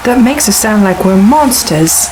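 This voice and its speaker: A woman acting in a science fiction audio drama as a brash, wry young reporter and pilot with a sarcastic, expressive delivery. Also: medium pitch, a little slow, background noise, lightly acted. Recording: music behind the voice.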